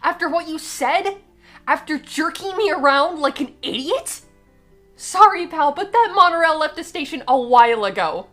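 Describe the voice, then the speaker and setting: High-voiced, defiant young alien girl, acted by a woman. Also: expressive, normal speed, fairly bright tone, soft background music. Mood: angry, scornful. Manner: casual, sarcastic wording. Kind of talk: fan-dub voice acting of comic dialogue.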